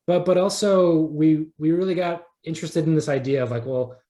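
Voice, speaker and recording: A man's voice: on the high side, moderate pace, mellow. A man being interviewed as an audio drama creator, explaining casually and thinking aloud as he goes. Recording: roomy, echoing sound.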